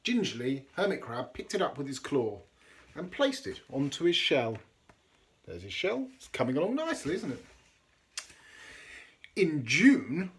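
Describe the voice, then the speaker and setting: A man reading a children's storybook aloud expressively, with dramatic pauses and chatty asides for young listeners. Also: fairly high pitch. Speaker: storybook reader.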